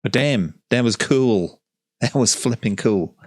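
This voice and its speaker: A man's voice: medium pitch, animated, a little slow, dry recording, clean studio sound. A man giving enthusiastic, informal commentary as the host of a gameplay video.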